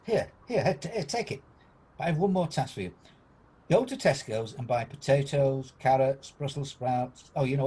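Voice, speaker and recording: An actor playing an elderly reformed miser, excitable and flustered, newly generous, in an amateur radio play. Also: male voice, mid-range voice, slightly rough. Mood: brisk, delighted. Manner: exclamatory, flustered, eager.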